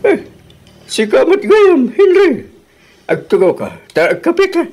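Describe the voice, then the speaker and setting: High-pitched, animated old Ilocano father. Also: measured pace, full character voice. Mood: inquisitive. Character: older father-in-law, nosy, admonishing, talkative. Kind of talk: Ilocano radio drama acting.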